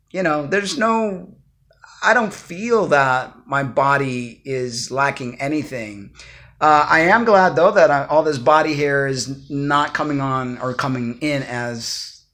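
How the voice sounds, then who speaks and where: A man's voice: medium pitch, steady tone, unhurried, a bit bright. A man in his fifties talking casually to camera in his living room, rambling and earnest as he gives advice. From Cuba. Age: 50s. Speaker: vlogger. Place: small home living room, indoors. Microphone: phone or laptop camera at arm's length.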